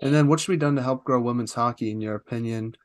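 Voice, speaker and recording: A man's voice: mid-range voice, quick pace. A man hosting an interview podcast, asking long questions in a talkative way with frequent "uh" fillers. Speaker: podcast host.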